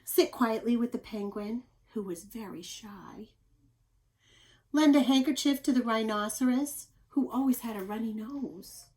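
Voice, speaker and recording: A woman with a fairly high voice reads a picture book to young children. She is expressive and stresses words deliberately, speaking to her listeners directly like a teacher. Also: a little hiss or hum. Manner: expressive, questioning, deliberate emphasis. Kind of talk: picture-book read-aloud with asides to children.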